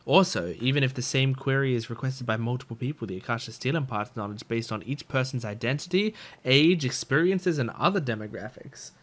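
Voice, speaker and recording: A man's voice: mid-range voice, a little fast, few pauses. A man from Australia giving casual, joking reaction commentary over a video game, sometimes reading text aloud. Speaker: gaming streamer. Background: faint game music.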